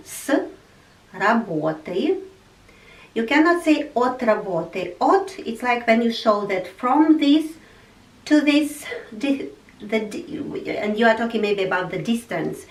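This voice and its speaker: Woman teacher, slow patient delivery, repeats examples, echoey room, voice a little off-mic. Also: a little high, big pitch swings, recorded from a distance. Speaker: language teacher. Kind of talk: language lesson.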